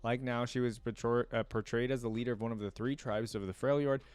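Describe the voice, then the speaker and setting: A man's voice: mid-range voice, steady tone, quick pace, darkish tone, clear, resonant tone. A man hosting a reaction video, chatting casually to viewers, thinking aloud and reading wiki text out as he goes. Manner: conversational, thinking aloud, often musing to viewers.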